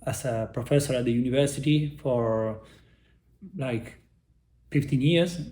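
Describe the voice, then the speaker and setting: Argentine man with halting, searching English. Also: medium pitch, mellow, roomy sound. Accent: Argentine Spanish. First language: Spanish. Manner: halting, searching for English words, many restarts. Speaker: interview guest, artist. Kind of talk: casual interview.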